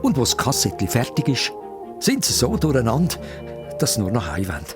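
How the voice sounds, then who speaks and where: A man's voice: medium pitch, wildly swooping pitch, brisk, music in the background. A man with a Swiss accent narrating a children's radio play as a scripted story.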